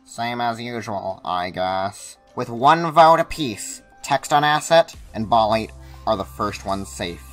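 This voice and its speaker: A man plays a bombastic male cartoon announcer with a bright, forceful voice. He declaims results and bickers irritably, in the manner of a homemade animated contest show. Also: on the low side, takes it slowly, faint music behind. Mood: announcing. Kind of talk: amateur animated web show voice acting.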